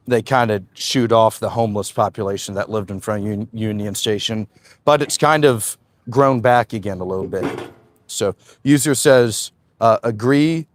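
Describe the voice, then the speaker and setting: Man in his twenties, easygoing livestream host, loose filler-heavy talk, mid-pitched voice, close dry sound. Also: no echo. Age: 20s. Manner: relaxed, loose, frequent "uh" and "man". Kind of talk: casual livestream chat, reading viewer comments.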